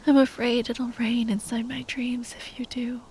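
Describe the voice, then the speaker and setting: A woman playing a tender, grieving spellcaster lover in an ASMR roleplay, speaking in a breathy, halting whisper. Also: a little high, level tone, a little mellow, slight background noise, natural voice, poor audio. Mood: tender, sad. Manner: halting, tender, sighing delivery.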